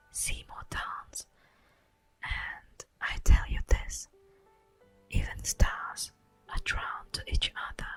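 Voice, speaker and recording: A woman playing an ancient, wistful immortal elf in a slow, breathy whispered ASMR roleplay monologue. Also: close to an everyday voice, rough recording. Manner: slow, theatrical, lingering pauses. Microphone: close microphone. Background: faint music.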